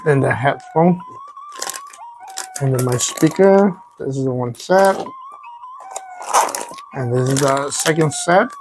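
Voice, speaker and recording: A man, native in Vietnamese, talks slowly with a gravelly creak in his voice. He unboxes a product in a casual, unscripted way, often halting as he searches for the next word. Faint noise sits behind him. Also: mid-range voice.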